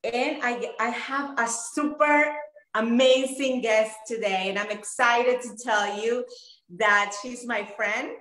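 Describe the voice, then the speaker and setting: A woman's voice: on the high side, fairly even intonation, slow pace, fairly bright tone, reverberant, low-quality recording. A woman hosting an interview in a chatty, enthusiastic way, with many fillers. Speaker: interview host. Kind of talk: casual interview chat.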